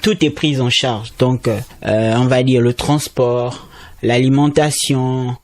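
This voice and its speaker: A man's voice: fairly even intonation, takes it slowly, dry recording. A man, a student trainee explaining conservation as a radio interview guest, speaking emphatically. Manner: explanatory, emphatic with rising pitch.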